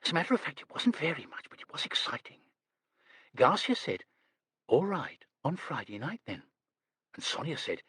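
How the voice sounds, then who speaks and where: A breathless young woman with a high squeak, as a male narrator puts her on. She stammers and tumbles over her words, her voice leaping all over. The reading is dry and close. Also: fairly bright tone, slightly rough, dry recording, exaggerated voice. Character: nervous gossipy young woman who squeaks.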